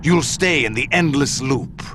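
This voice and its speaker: An actor playing a scarred old warlord and foster father who speaks in a grave, slow and solemn way, in a video game cutscene. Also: mid-range voice, expressive, music in the background, close to an everyday voice. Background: loud score.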